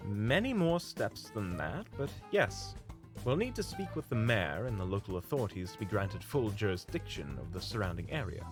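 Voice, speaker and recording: A man playing a courteous, calm leader of monster hunters in an audio roleplay, speaking politely and precisely with a caring manner. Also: on the low side, normal speed, music in the background. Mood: businesslike, polite. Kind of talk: audio roleplay acting addressed to the listener.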